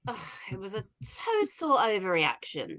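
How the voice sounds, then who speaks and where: A woman's voice: mid-range voice, huge pitch swings, moderate pace, fairly bright tone. A woman acting a brash, flippant middle-aged party performer in a theatrical, defiant way for a script read-through. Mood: defiant, boastful.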